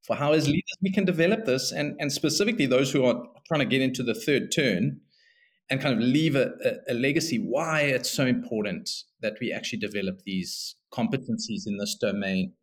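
A man with a South African accent, a psychologist guesting on a podcast, speaking appreciatively with some halting restarts. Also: mid-range voice. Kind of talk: casual conversation.

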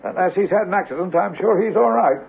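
An actor playing a courteous, reassuring doctor in a 1970s radio drama, with a polite, hesitant delivery. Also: male voice, sits high, quick pace, creaky. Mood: courteous, concerned. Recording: noisy, tinny old radio broadcast recording.

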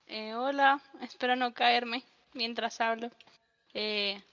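Woman, hesitant, slow, dull lo-fi remote audio. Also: a little high, steady tone, fairly bright tone. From Colombia. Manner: hesitant, halting. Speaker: podcast contributor. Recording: distant, dull, reverberant call audio.

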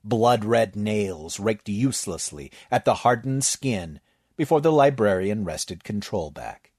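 A man narrating a story in an audiobook read, his voice moving lively up and down. He reads slowly and carefully. The sound is dry and close. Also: fairly low pitch, no echo.

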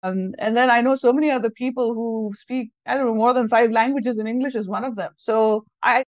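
A woman's voice: fairly high pitch, steady tone, a little fast, dry recording. A woman with an Indian accent and Hindi as her first language, giving thoughtful, explanatory answers in a conversational interview. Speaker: podcast guest, English language teacher and trainer. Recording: dull lo-fi audio.

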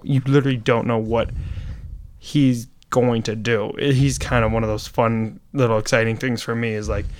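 A young man co-hosting a casual podcast, talking in long enthusiastic rambles. His voice is smooth and clear at an ordinary pitch, and the recording is dry and close. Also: dry recording. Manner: rambling and enthusiastic, full of "like" and "you know". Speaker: podcast co-host.

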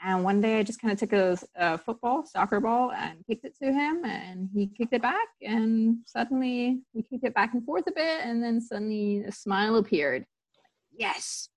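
A woman's voice: a little high, lively intonation, normal speed, low-quality recording. A woman who grew up in Tanzania, a sports psychologist guest talking casually in an interview, rambling with many fillers and restarts.

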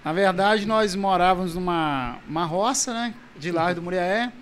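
A Brazilian man in his forties, a pastor, talking casually in an interview about his family and childhood, halting with restarts. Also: high-pitched, a little hiss or hum. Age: 40s. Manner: halting, with frequent restarts and soft laughs.

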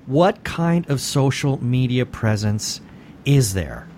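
A man's voice: mid-range voice, animated, takes it slowly, darkish tone, a little hiss or hum. A man co-hosting a webcast, chatting casually and jokily as he interviews guests. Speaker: webcast co-host.

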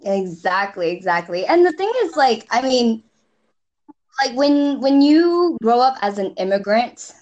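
Brief: young woman, American accent with Singaporean traces, slightly high pitch, expressive, quick to laugh and swear. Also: dull audio. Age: young adult. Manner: lively, expressive, laughs often, swears casually. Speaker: podcast guest. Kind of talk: casual chat.

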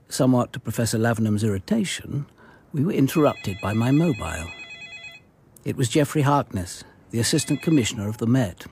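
An actor playing a composed, courteous senior detective in a radio drama, with formal, measured speech and an English accent. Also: male voice, medium pitch, a little mellow, breathy edge. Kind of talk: radio drama, first-person narration and dialogue.

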